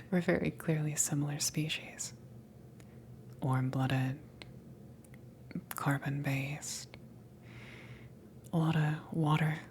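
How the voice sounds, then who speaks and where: A woman acting as a casual alien salvage crew member for an ASMR roleplay. She speaks very slowly in a breathy, low whisper, halting and gently teasing. Ambient noise sits behind the voice. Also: expressive, exaggerated voice. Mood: curious, hesitant. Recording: noticeable background noise, ambience.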